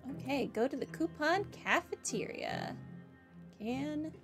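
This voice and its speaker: A woman's voice: high voice, expressive, slow, music in the background. A woman giving casual playthrough commentary, reading game dialogue choices aloud. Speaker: game streamer.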